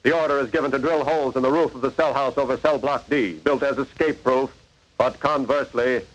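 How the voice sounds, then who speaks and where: An actor playing the grave, urgent narrator of a 1940s radio crime drama, in formal scripted delivery. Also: male voice, mid-range voice, steady tone, brisk, lightly acted, poor audio. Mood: urgent, terse. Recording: old radio broadcast recording.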